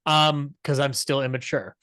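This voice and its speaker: Young male podcast panelist, bright forward voice, dry close sound, chatty and enthusiastic. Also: mid-range voice, steady tone, normal speed, smooth, no echo. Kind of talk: conversational show commentary.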